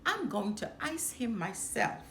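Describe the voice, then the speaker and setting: A woman's voice: on the high side, very animated, measured pace, fairly bright tone, exaggerated voice. A woman voicing a sly, confident male fox hustler from a storybook, with a glib salesman's manner. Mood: smug, incredulous. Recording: roomy echo, some background noise.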